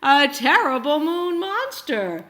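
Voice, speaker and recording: A woman's voice: sits high, big pitch swings, takes it slowly, a bit bright, voice pushed up, heavily acted. A woman voicing an earnest, childlike, determined bear in a storybook, speaking emphatically. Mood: wondering, scared.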